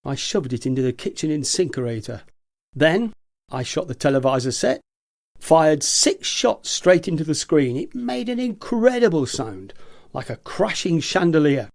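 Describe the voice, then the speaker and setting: An amateur actor playing a jaded, agitated prisoner who rants about machines in a theatrical, emphatic way, as in a radio play. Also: male voice, on the high side, sing-song, moderate pace, creaky, a little mellow. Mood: gleeful confession. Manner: theatrical, emphatic, ranting with repetition.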